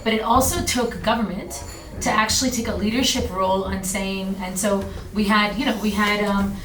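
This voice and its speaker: A woman answers informally on a panel, stopping and starting as she stresses her points. Her voice is mid-pitched. She is heard at a distance in a room with plenty of echo. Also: fairly even intonation, normal speed, fairly bright tone, soft background music. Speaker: panelist, advocate for women entrepreneurs. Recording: echoey room, distant mic, clear noise.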